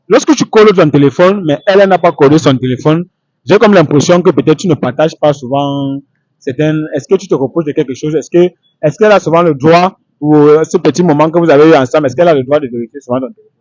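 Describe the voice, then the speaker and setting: Guy doing street interviews, highish voice, repeats himself a lot, pretty dry sound. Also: a little mellow, no echo, highs cut off. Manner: repetitive, halting phrasing.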